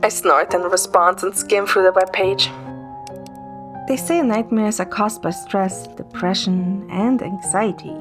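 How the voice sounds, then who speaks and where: A woman acting a playful, caring young woman telling her own love story. Her voice is mid-pitched, soft and gentle, moving between first-person narration and dialogue, with music behind it. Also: unhurried, close to an everyday voice. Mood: light, playful. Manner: gentle, soft, sometimes whispering.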